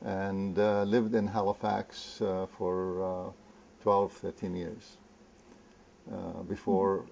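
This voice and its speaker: An older man from Lebanon, a guest in an interview, talking hesitantly with many fillers and pauses. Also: low-pitched, level tone, gravelly, darkish tone, a little hiss or hum. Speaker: interview guest, community founder. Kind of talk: conversational interview. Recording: muffled lo-fi recording.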